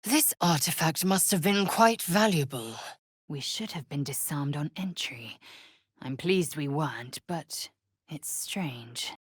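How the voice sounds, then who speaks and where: A proud, zealous young warrior woman from a fantasy video game. Her voice is low and very husky, and she speaks in slow, clipped, formal phrases with imperious disdain. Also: lively intonation, dry recording, studio quality, exaggerated voice. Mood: observant. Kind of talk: video game character voice acting.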